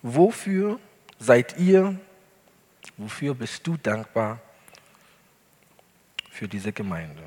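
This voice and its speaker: A male pastor, not a native German speaker, preaching an earnest sermon in a church hall with deliberate emphasis. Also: medium pitch, sing-song, mellow, husky. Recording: long hall reverb.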